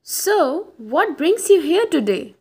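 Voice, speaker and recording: A woman playing a gentle, hopeful wife awaiting her husband in an amateur radio drama, polite and hesitant. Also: very high voice, big pitch swings, takes it slowly, exaggerated voice. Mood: pleasantly polite. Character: Shaila Rathore, gentle, hopeful waiting wife, in denial.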